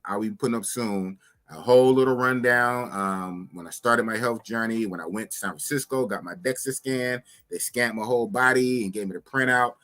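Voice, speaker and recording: Animated man in his fifties hosting a podcast. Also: medium pitch. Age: 50s. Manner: animated, talks to chat, repeats phrases. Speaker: podcast host. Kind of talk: casual live-stream chat.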